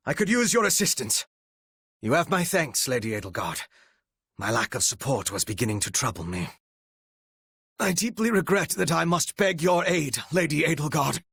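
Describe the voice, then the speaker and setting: Menacing dark retainer, raspy and creaky, sharp edge, formal theatrical diction, devoted strategist, video game character. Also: male voice, a little high, dry recording, studio quality, heavily acted. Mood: brisk command, then solemn, humbled.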